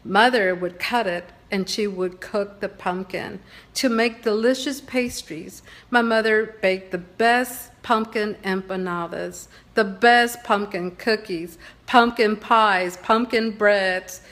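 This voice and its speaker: A woman's voice: medium pitch, slow, fairly bright tone. Earnest woman from Texas presenting. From Texas, San Antonio. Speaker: presenter sharing family background. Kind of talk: prepared personal presentation.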